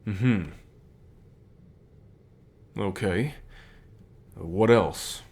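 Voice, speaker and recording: A man playing a calm, reassuring company boss with gentle authority, speaking softly in measured phrases for an ASMR roleplay. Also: fairly low pitch, slightly rough, exaggerated voice. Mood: patient, explaining. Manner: measured, soft-spoken, deliberate pauses. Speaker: voice actor in an audio roleplay.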